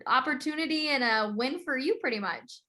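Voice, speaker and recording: A woman's voice: sits high, a little fast, pushed and bright. A woman with an American accent discussing games casually as co-host of a sports podcast.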